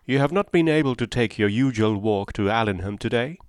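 A man voicing a hearty, sociable country gentleman with a bluff manner. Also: medium pitch, animated, a little fast, no echo, clean studio sound, natural voice. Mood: jovial.

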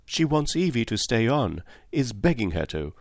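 A man's voice: mid-range voice, big pitch swings, moderate pace, dry recording, studio quality. A male narrator voicing a kind, candid young English woman who talks in an earnest, confiding, slightly plaintive way. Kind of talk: audiobook character voice.

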